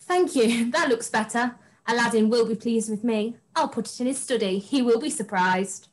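A young woman playing a haughty, naive pantomime princess in an expressive, slightly stagey way. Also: on the high side, moderate pace, natural voice. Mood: pleased. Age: young adult. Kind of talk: script read-through on video call. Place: room at home, video call.

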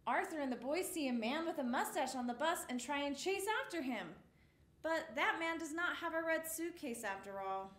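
High, lively woman reading a storybook aloud. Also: normal speed, reverberant. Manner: expressive, exclamations for the story. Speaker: storytime reader. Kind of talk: reading a picture book aloud to young children. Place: small indoor studio with a rainbow mural.

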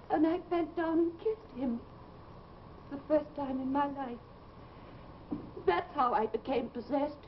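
An actress playing a fearful, tormented young woman in an old television melodrama, speaking in an earnest, plaintive, halting way. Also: sits high. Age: young adult. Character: tormented, fearful young woman who believes she is possessed. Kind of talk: 1950s live television melodrama acting. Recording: muffled, noisy old TV soundtrack.

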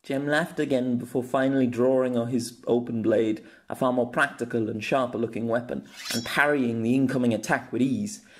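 Man narrating fantasy audiobook, medium pitch. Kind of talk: scripted fantasy story narration.

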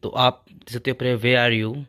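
A man's voice: medium pitch, level tone, slow, bright, forceful tone, smooth, dry recording, studio quality. A young man hosting a tech channel, walking through phone features step by step and leaning on the same filler phrases over and over. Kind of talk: casual phone tutorial.

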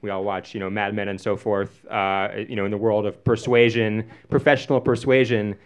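A man's voice: fairly low pitch, level tone, moderate pace, clean tone, dull audio. A man in his forties moderating a live panel, putting casual questions into a stage microphone. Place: small dim event venue stage. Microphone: stand microphone.

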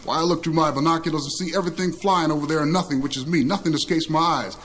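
A man's voice: on the high side, steady tone, fast talker, fairly bright tone, natural voice. A man voicing a sickly, boastful old tyrant in a satirical story, chummy and slangy. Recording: clearly noisy recording.

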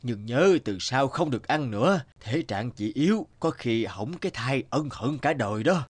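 A man voicing an elderly village healer in a cartoon, a stern, wise teacher who speaks in a measured, admonishing, instructive way. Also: mid-range voice, animated, lightly acted. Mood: stern, concerned. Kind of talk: Vietnamese cartoon voice acting.

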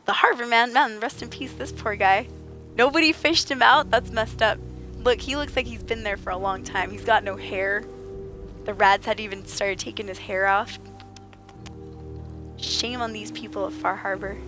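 A woman streaming a game, slightly raspy and under the weather, chatting playfully with viewers and joking in mock outrage. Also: medium pitch, moderate pace, sharp tone, faint music behind. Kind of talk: casual gaming chat with viewers.

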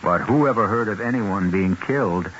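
Deep, theatrical American radio mystery host. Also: male voice, a bit bright, a little hiss or hum. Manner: theatrical, deliberate, ominous. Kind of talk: 1970s radio drama narration. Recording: lo-fi 1970s radio broadcast.

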